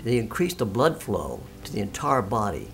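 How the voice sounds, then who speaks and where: A man's voice: lowish voice, very animated, moderate pace, vocal fry, a bit bright. A man in his nineties giving an unscripted patient testimonial, plain-spoken, enthusiastic and emphatic. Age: 90s.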